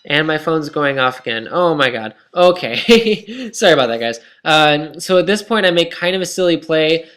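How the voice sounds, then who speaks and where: A man's voice: a little high, lively intonation, moderate pace, fairly bright tone. A young man commentating his own online game match in a casual, self-critical, rambling way, his voice tired and scratchy. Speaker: gaming commentator.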